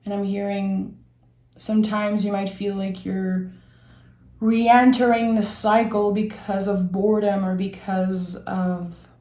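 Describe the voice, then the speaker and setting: A young woman with a raspy voice from being sick, giving a gentle, reflective tarot reading and thinking aloud as she draws cards. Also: medium pitch, echoey room. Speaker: tarot reader. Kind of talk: soft, slow tarot reading.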